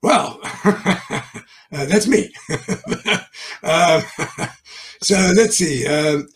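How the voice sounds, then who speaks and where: A man's voice: fairly high pitch, animated, drawn-out words. An older man from South Africa, a guest telling his business story in a chatty, rambling way with frequent chuckles and fillers. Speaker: guest, mortgage business owner. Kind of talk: casual interview chat.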